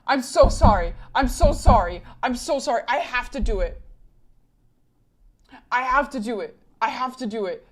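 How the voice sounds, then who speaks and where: A woman streaming live and chatting casually with her audience, often repeating herself and apologizing in quick bursts. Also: fairly high pitch, lively intonation. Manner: rapid repeated apologies and asides to chat.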